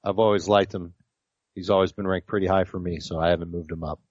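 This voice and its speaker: A man's voice: sits low, fairly even intonation, fast talker, darkish tone, dry recording, muffled recording. A man on a sports podcast panel, giving opinions and joking casually.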